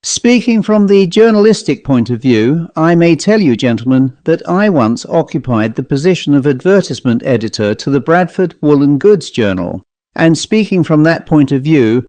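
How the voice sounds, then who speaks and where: A man voicing a large, boring travelling salesman, pompous and earnestly dull, with a flat, long-winded delivery in a story reading. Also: on the high side, moderate pace, dry recording, close to an everyday voice. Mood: pompous, holding forth.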